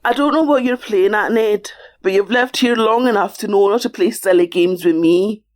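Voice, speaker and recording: A woman's voice: mid-range voice, normal speed, close to an everyday voice. An actress playing a blunt, exasperated, defiant woman who snaps back at townsfolk.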